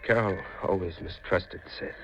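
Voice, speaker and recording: A man's voice: deep voice, moderate pace, creaky, music in the background. An actor in a 1950s radio drama playing a haunted, grief-stricken seafaring man who talks in a brooding, insistent, folksy way. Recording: muffled, noisy 1950 radio recording.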